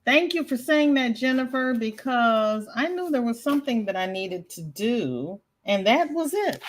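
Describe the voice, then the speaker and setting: A woman's voice: on the high side, big pitch swings, measured pace. A woman hosting a crochet livestream, chatting casually and rambling, reading out viewer comments, with a congested voice and frequent restarts. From American South. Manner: rambling, chatty, self-interrupting with repeated starts.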